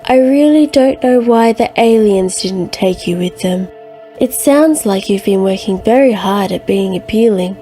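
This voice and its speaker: Woman playing a slow, mellow advice columnist. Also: medium pitch, soft background music, close to an everyday voice. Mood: deadpan. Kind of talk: reading listener letters, satirical.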